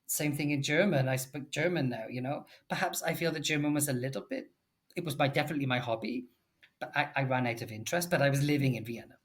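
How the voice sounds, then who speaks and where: A man's voice: a little high, fast. Chatty Welsh man in his forties. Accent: Welsh English. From Wales. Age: 40s. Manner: chatty and animated, lots of fillers and self-corrections. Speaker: English teacher and podcast guest. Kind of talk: casual conversational chat.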